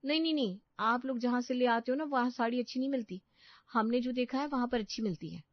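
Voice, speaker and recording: A woman's voice: on the high side, fast talker, no echo, dull audio, pitched above the performer's own voice, lightly acted. A woman playing a bossy, haughty housewife in a story, scolding and plain-spoken. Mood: pleading, complaining. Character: bossy middle-class housewife, skeptical employer of a maid.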